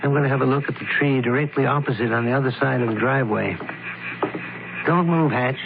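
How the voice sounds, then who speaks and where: An actor playing a shrewd, methodical male criminologist, deliberate and authoritative, in 1970s radio drama style. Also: medium pitch, vocal fry, close to an everyday voice. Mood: intent, methodical. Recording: noisy, narrowband old radio broadcast.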